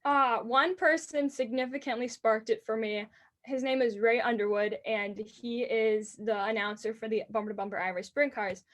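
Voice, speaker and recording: An eighteen-year-old woman with a high-pitched voice, earnestly answering interview questions about racing announcing. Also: fairly even intonation. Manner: earnest and enthusiastic, quick bursts.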